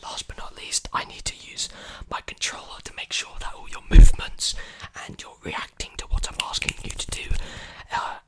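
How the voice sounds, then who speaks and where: Whispering young man doing ASMR roleplay. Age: young adult. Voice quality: breathy, unvoiced whisper. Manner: soothing, repeats phrases slowly. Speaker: ASMR performer playing a robot repair technician. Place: small indoor room with clothes hanging behind. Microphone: large desktop condenser mic, very close.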